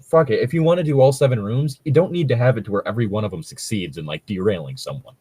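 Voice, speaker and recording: A man's voice: medium pitch, fast talker, mellow, breathy edge. A man chatting casually as a show co-host, sweary and riffing in a joking commentary. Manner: sweary, riffing, breaking into skits. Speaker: co-host of a story-reading show.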